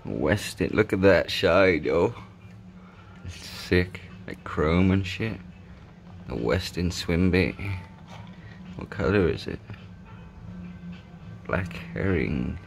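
A man's voice: sits low, expressive, takes it slowly, vocal fry, long pauses, slight background noise. A man giving casual, sweary, slangy unboxing commentary indoors, filming on a handheld phone. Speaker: fishing vlogger. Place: indoors at a table. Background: music.

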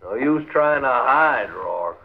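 A man's voice: mid-range voice, animated, takes it slowly, vocal fry, very noisy. An actor playing a coolly cruel frontier gunman in a 1950s radio western, drawling mockingly polite threats with an American accent. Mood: cold, mocking. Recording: muffled, phone-like 1950s radio recording.

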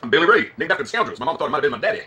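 A man acting a fast-talking, folksy Southern gas attendant. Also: fairly high pitch, sing-song, bright, forceful tone. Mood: folksy, chatty. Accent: put-on American Southern. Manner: folksy, joking, rambling. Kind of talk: dramatic scene acting.

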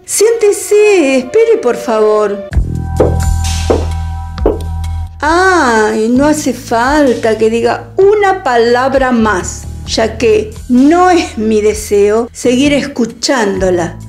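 A woman playing a defiant young lady, slow cool delivery, slightly high voice, Rioplatense accent. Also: animated, darkish tone. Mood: cold dismissive. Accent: Rioplatense Spanish. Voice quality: cool, ironic, measured. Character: proud young woman, sharp-tongued and defiant. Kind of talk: online radio drama acting. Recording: faint music underneath.

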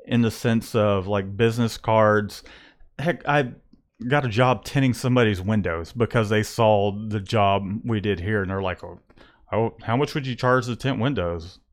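A man in his thirties, a print shop owner, talking casually about his business into a close studio mic, rambling and restarting his thoughts. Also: lowish voice. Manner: rambling, restarts often, thinks aloud. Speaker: screen printing shop owner and podcast host. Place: small print shop room. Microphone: studio condenser mic close up, headphones.

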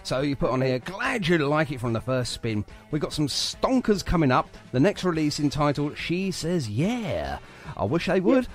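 A male radio host chats in an animated, slightly high voice that moves freely up and down. He is jokey and effusive, pausing to find his words, with faint music behind him. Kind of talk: chatty music radio interview.

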